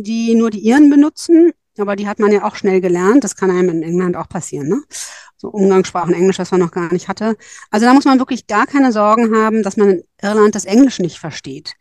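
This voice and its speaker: A woman's voice: mid-range voice, darkish tone, no echo, clean studio sound. A woman advising on school exchange programs, explaining in thoughtful, careful podcast talk with frequent fillers.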